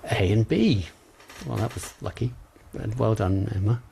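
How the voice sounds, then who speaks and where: A man's voice: lowish voice, lively intonation, normal speed, vocal fry, dark tone, slight background noise. A man working as a coach trainer, leading an informal online lesson in a conversational way, often hesitating as he thinks aloud. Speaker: coach trainer leading exam preparation session.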